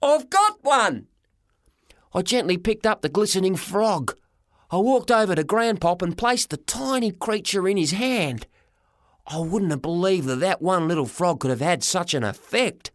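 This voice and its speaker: A man narrating a first-person story for an audiobook, dramatic and expressive, in short measured phrases. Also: high voice, no echo, studio quality.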